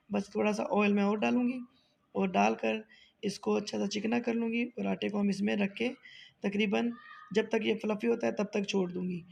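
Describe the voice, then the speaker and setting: A woman with a sore, hoarse throat hosting a home cooking tutorial, chatty and polite as she talks viewers through each step. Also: mid-range voice, darkish tone. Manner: chatty, polite, often addresses viewers as sir. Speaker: cooking channel host.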